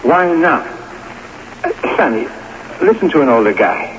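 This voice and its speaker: A man's voice: on the high side, big pitch swings, unhurried. A man playing an older, pragmatic pal giving insistent, colloquial advice in a radio drama. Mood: urgent, persuading. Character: older pal, streetwise, pragmatic, pushy advice-giver to young athlete. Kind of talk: 1980s radio drama acting. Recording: dull noisy radio recording, long reverb.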